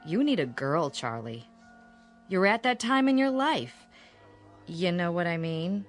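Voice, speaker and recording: An actress playing a worried, bossy sister in a radio drama, speaking frankly and insistently with strong emphasis. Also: female voice, lowish voice, big pitch swings, a little slow, faint music behind. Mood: blunt, worried. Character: blunt, pushy, worried sister of a shy man. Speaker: actor in a radio play.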